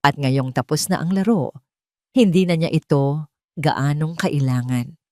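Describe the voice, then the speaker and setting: A woman's voice: deep voice, a little slow, dark tone, dry recording, clean studio sound. A woman narrating a scripted love story in a dramatic storytelling style.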